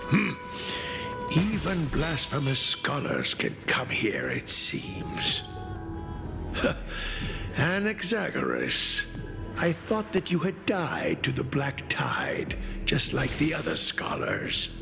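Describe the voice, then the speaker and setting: A man playing a haughty, zealous priest in a video game, scornful and menacing, with a gravelly voice and pompous delivery. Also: on the high side, wildly swooping pitch, heavily acted. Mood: sneering. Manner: pompous, slow, drawn-out. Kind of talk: dramatic video game voice acting. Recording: reverberant hall, music underneath.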